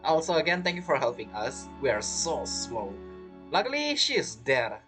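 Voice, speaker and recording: A woman with a low voice streams a game in casual style. Her intonation swings widely as she comments and reacts. Game music plays behind her. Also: very animated, moderate pace, a bit bright.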